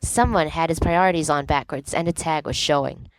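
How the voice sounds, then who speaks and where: Woman, expressive story narration, lowish voice, dry close recording, wry emphasis. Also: a little fast, no echo, low-quality recording. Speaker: volunteer audiobook reader of fan fiction.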